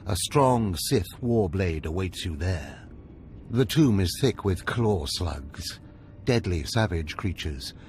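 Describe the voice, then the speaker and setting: Older sith overseer for a game, lowish voice, stern n scheming, measured orders, noisy ambience behind. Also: male voice, expressive, darkish tone. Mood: stern, instructing. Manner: commanding, measured, formal. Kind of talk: video game voice acting.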